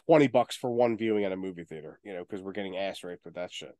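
A man's voice: low voice, quick pace, warm tone, no room sound, clean studio sound. A man chatting casually as a podcast co-host, informal and rambling, given to swearing. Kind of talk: casual chat about video game movies.

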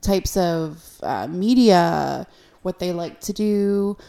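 Low, mellow woman chatting on a podcast. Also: takes it slowly, vocal fry. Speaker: podcast co-host. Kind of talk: casual conversational podcast chat.

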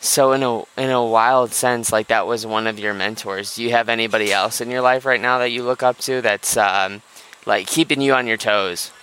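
Male podcast host with a crisp, bright voice and curious follow-ups. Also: mid-range voice, steady tone, normal speed, low-quality recording. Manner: curious, probing follow-up questions. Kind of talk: casual interview questions.

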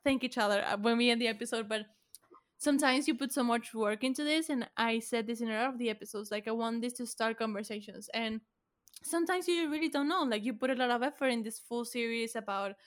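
A woman's voice: on the high side, fast talker. A woman hosting her podcast, chatting casually with guests in a self-deprecating, giggly way. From Argentina. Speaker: podcast host.

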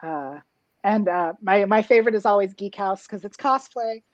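A woman's voice: medium pitch, moderate pace, no echo, highs cut off. A woman in her thirties, a drag king performer, talking reflectively in a casual interview with lots of fillers and restarts, heard over a video call. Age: 30s.

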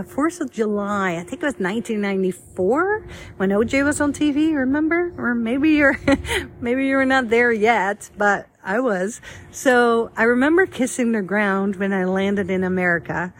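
A woman's voice: mid-range voice, moderate pace. Chatty woman telling stories. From Netherlands. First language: Dutch. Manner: chatty and lively, acts out quoted dialogue. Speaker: speaker sharing her life story. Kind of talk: casual personal storytelling.

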